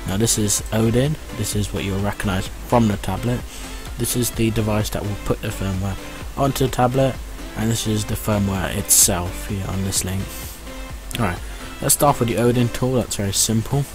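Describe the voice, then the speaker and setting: A man with a slightly low voice walks through a tutorial step by step. He sounds casual and reassuring as he explains. Music plays clearly behind him. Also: normal speed. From United Kingdom. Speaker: tech tutorial host.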